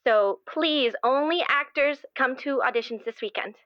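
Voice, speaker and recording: High-voiced, earnest woman playwright played by an actress. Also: no echo, dull audio, lightly acted. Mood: pleading. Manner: effusive, halting, earnest. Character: earnest devout woman playwright, gushing, anxious to please. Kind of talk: improvised actual-play interview acting.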